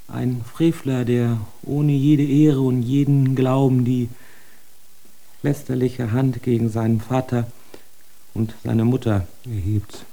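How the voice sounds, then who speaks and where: A broken, submissive prisoner confessing before the Inquisition in a radio drama. The voice is very mellow and dark, in a medium register, and moves slowly through formal, self-abasing phrases. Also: male voice, mid-range voice. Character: humbled accused man confessing to the Inquisition. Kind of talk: German radio drama acting.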